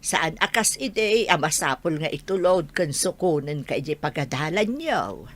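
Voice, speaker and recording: Low-voiced caring grandmother. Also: very animated, normal speed. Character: caring elderly grandmother advising her grandchild. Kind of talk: Ilocano radio drama acting.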